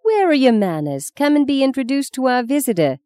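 Woman acting brisk, sharp-tongued grandmother, raised pitch. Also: very animated, dry recording. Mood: stern. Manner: commanding, tart. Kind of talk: audiobook character reading.

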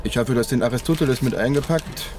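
A man's voice: mid-range voice, fast, natural voice. Radio drama: dry, evasive, bookish male grumbler, played by a male actor, mid-range voice, faint background noise. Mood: grumbling, dry.

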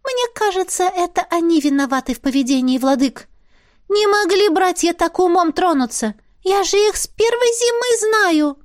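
Woman voicing a shrill bossy little spirit. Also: animated, moderate pace. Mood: earnest. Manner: businesslike, indignant, hissing when alarmed. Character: small bossy female spirit, shrill and fussy.

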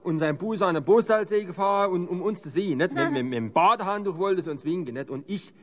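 A man's voice: high voice, quick pace, big-room sound. A man playing an easygoing, beer-loving rocket pilot, chatting in dialect.

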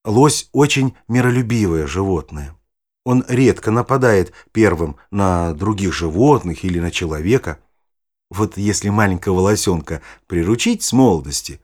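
An actor playing an older, knowledgeable naturalist grandfather explaining things patiently in an audio play, with a husky voice. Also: deep voice, animated, normal speed, a little mellow. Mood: calm, earnest.

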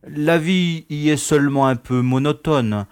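An actor playing an easygoing, self-important prison director in an audio comedy, speaking in a courteous, formal, paternal way. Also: male voice, a little high, a little slow, a little mellow, clean tone, dry recording, studio quality, close to an everyday voice. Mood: genial, self-satisfied. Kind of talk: French radio comedy acting.

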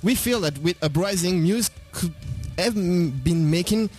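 A man's voice: sits high, darkish tone, faint music behind. A male interpreter on a radio interview, translating back and forth and often restarting his sentences. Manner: halting, restarts while translating.

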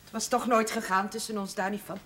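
A woman's voice: mid-range voice, little pitch movement, fairly quick, a bit bright, a little hiss or hum, natural voice. An actress playing a plainspoken, motherly former housekeeper who teases dryly. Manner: dry, teasing, plainspoken. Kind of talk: 1970s TV drama acting.

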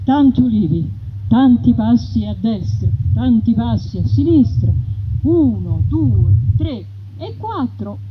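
A woman's voice: a little high, lively intonation, slow pace, background noise. A woman narrating a theatrical story in a lilting, dramatic way. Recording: dull radio broadcast with music.

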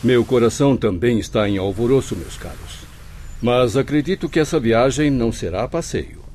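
A man plays an anxious, refined male professor in the spirit world. He has a medium-pitched voice and a formal, questioning radio drama delivery. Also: lively intonation, moderate pace, a little mellow, slight background noise, lightly acted. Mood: stirred. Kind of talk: Brazilian radio drama acting.

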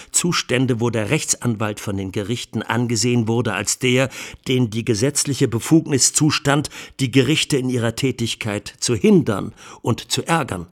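Sardonic courtroom lawyer. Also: male voice, mid-range voice. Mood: incredulous, sardonic. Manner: rhetorical, sardonic, deliberate emphasis with pointed pauses. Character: male defence lawyer pleading in court, indignant and sardonic. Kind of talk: courtroom speech in a radio play.

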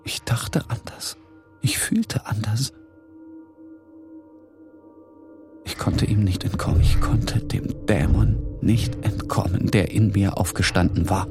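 A man playing a tormented, half-mad painter in a dramatic literary narration, speaking with slow, halting intensity. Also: lowish voice, over music. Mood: haunted, despairing. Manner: slow, halting, intense, dropping at times to whispers.

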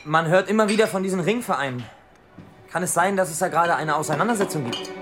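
A man's voice: a little high, fast talker, a bit bright, soft background music, lightly acted. An actor playing a polite, cautious young man who feigns innocence, in a German radio play. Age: young adult.

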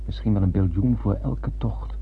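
An actor playing a wistful spaceman who narrates his voyage in a radio play, lyrical and deliberate, with poetic wonder. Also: lowish voice, slight background noise, natural voice. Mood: awed, descriptive. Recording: narrow, tinny old radio broadcast.

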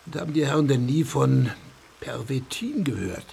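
An actor playing a sardonic physician and state councillor in a radio play, asking probing, ironic questions. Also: male voice, mid-range voice, expressive, normal speed, creaky, a little mellow, a little hiss or hum.

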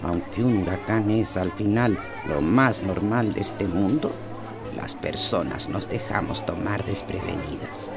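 A woman playing a kind, devout old villager in a radio drama, speaking slowly and reassuringly. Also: extremely low pitch, a crowd in the background. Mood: gentle, consoling. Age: older. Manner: slow, reassuring.

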